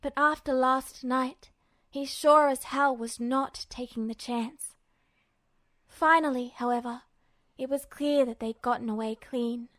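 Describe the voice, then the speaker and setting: A woman reading scripted fiction aloud as an expressive audiobook-style narrator. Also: high-pitched, no room sound.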